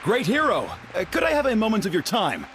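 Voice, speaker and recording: A man's voice: high-pitched, wildly swooping pitch, brisk, a bit bright, faint music behind, some chatter behind, full character voice. A man playing a young event guide in a video game, friendly, helpful and deferential. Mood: eager.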